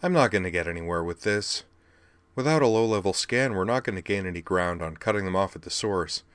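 A man voicing an exasperated, sarcastic young woman, his voice lifted in pitch. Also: lively intonation, brisk, fairly bright tone, smooth, no room sound. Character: exasperated young woman, sharp-tongued tech worker.

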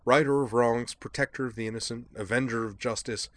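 A man's voice: medium pitch, steady tone, fairly quick, natural voice. A man voicing a chipper, eccentric young British man full of upper-class banter in a storybook reading. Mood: jaunty.

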